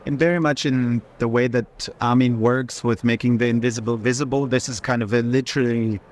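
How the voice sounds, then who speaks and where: Guy talking art while strolling, mid pitch, keeps adding little question tags to his points. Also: steady tone, fairly quick. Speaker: art institution director in conversation. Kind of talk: unscripted walking conversation about art.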